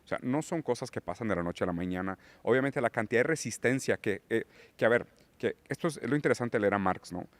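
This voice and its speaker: A man's voice: mid-range voice, lively intonation, quick pace, no echo. A man who is a guest on a political podcast, lecturing on theory and rising into emphatic points. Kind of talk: political conversation.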